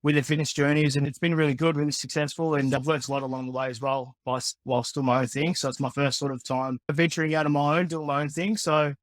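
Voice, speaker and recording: Young man, friendly, slightly high voice. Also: fast, few pauses, dry recording. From born in the Philippines, raised in Australia. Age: 20s. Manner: relaxed, friendly, many yeahs and restarts. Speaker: guest, personal trainer and osteopath. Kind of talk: casual interview answers.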